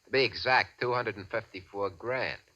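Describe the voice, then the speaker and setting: An actor playing a cocky, greedy American racketeer who talks in boastful gangster slang, in the style of 1940s radio crime drama. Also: male voice, lowish voice, normal speed, sharp tone. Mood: smug, domineering. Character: cocky, greedy small-time racketeer man with a swaggering streak. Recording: dull, band-limited old radio recording.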